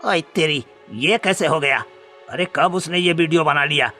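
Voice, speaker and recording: A man's voice: fairly high pitch, moderate pace, sharp tone, soft background music. A man voicing a hot-tempered, cowardly food seller in a cartoon story, blustering in an exaggerated way. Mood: shocked, muttering. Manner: blustering, stammering when frightened, exaggerated. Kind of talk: Hindi cartoon story dubbing.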